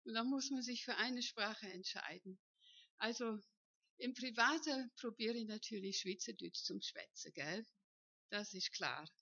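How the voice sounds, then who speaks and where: Bright-voiced missionary woman in her fifties. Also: on the high side, measured pace, no room sound, dull audio. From northern Germany. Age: 50s. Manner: chatty, often asks the room for agreement. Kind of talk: personal testimony to a church congregation. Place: church hall.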